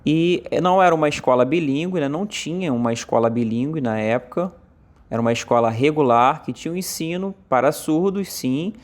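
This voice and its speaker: A Brazilian man interpreting a deaf speaker's talk aloud in first person, in short halting phrases with frequent fillers. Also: on the high side. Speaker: sign language voice interpreter.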